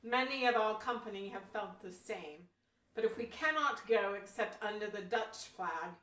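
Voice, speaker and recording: A woman's voice: mid-range voice, expressive, normal speed, a bit bright, close to an everyday voice. A woman playing a devout, resolute Pilgrim pastor in a historical audio play, with earnest, formal old-fashioned phrasing. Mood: fervent, resolute. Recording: roomy echo, distant from mic.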